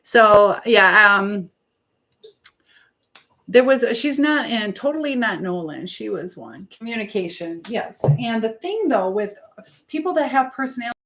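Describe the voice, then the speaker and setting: A woman's voice: a little high, lively intonation, a little slow, fairly bright tone. Chatty, rambling middle-aged American woman. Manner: rambling, chatty, hopping between asides and replies. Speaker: live stream host. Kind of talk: casual live chat, answering viewer comments.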